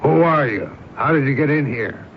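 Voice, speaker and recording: An actor playing an irritated, blustering, dismissive boss in a 1970s radio drama. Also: male voice, mid-range voice, moderate pace, lightly acted. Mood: indignant. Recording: muffled, noisy old radio broadcast.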